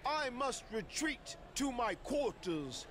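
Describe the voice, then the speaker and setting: A grandiose, mystical oddball wrestler in a game cutscene. The voice is strikingly high, with pompous archaic phrasing and theatrical flourish. Faint noise sits behind it. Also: male voice, big pitch swings, slow pace, heavily acted. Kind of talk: video game cutscene acting.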